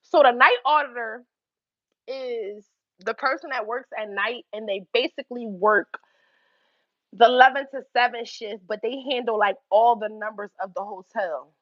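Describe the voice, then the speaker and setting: A young woman with a Philadelphia accent telling a story on her livestream, animated and swearing freely between laughs. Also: a little high, normal speed, no room sound, muffled recording. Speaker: YouTube livestream host. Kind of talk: casual livestream storytelling to chat.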